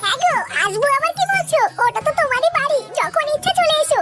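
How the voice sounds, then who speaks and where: Cartoon flirt, woman performing: village sister-in-law, piercingly high pitch, brisk pace, coy and suggestive. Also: expressive, music in the background. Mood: flirtatious. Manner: coaxing, suggestive. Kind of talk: comic cartoon dialogue.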